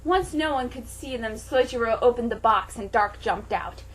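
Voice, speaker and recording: Woman, high voice, hosting a mock-dramatic story reading; theatrical delivery, sarcastic commentary. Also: animated, normal speed, breathy edge, poor audio. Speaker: host reading fan fiction aloud. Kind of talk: dramatic comic reading with mocking asides.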